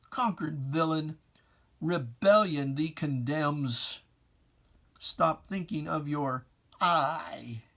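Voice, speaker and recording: A reciter performs religious verse as a dramatic reading at a very unhurried pace. The delivery is solemn and declamatory, with emphatic phrasing and old-fashioned diction. The voice sits at a medium pitch. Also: pushed and bright. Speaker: poetry reciter.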